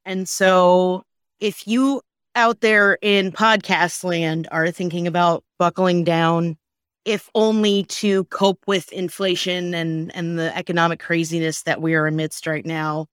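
A woman's voice: fairly low pitch, steady tone, measured pace, clear, resonant tone, no room sound, studio quality. A woman co-hosting a podcast in casual, conversational chat, speaking with emphasis. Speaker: podcast co-host.

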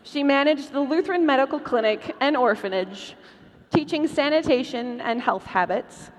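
A woman's voice: high voice, lively intonation, normal speed. A woman host reading scripted narration as part of a live comedic stage show. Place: large convention hall, live stage. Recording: noisy hall with long reverb.

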